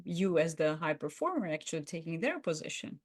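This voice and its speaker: Low-pitched woman in reflective podcast talk. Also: sing-song, fairly quick, no room sound, clean studio sound. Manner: affirming, agreeable. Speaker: podcast co-host. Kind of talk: unscripted reflective discussion.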